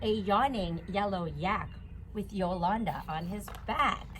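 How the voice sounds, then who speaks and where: A woman's voice: medium pitch, a little slow, a bit bright. A woman hosting a children's storytime, reading a picture book aloud outdoors in a sing-song, repetitive way. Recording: noisy outdoor ambience.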